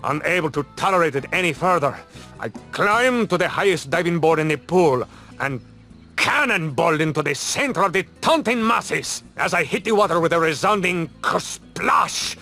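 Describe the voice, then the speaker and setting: An actor playing a pompous, wounded champion diver in a video game, telling things in florid, theatrical style. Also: male voice, fairly high pitch, expressive, normal speed, creaky, bright, forceful tone, faint music behind, heavily acted. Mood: anguished.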